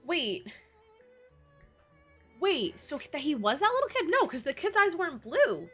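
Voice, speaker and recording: A young woman game streamer chats in a slangy, rambling way. Her pitch moves in lively swings as she reacts, with faint game music behind her. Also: measured pace. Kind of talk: casual livestream commentary.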